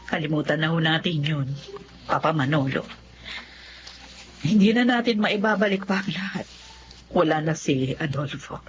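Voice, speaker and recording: A woman's voice: low-pitched, expressive, moderate pace, pauses often, a little hiss or hum. An actress with a Filipino accent playing a loving, long-suffering mother in an emotional radio drama. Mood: gentle, forgiving. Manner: emotional, melodramatic delivery. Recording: dull lo-fi audio, loud music behind.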